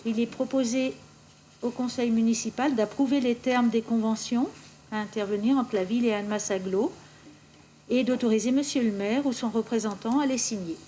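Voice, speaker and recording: Female official, soft-edged higher voice, formal meeting explanation, faint noise behind. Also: fairly even intonation, moderate pace. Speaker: municipal official presenting a council resolution. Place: municipal council meeting.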